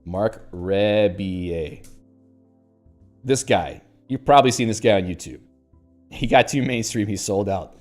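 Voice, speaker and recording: A man's voice: on the low side, measured pace, pauses often, darkish tone, soft background music. A man hosting a casual livestream, chatting in a rambling way with fillers and restarts and reading out viewer comments. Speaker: livestream host.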